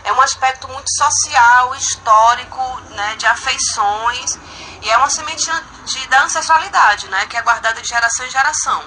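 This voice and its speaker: Bright, highish woman explaining. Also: normal speed, a little hiss or hum, rough recording. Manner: repetitive, frequent tag questions. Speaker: agronomist interviewee. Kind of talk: spontaneous explanation.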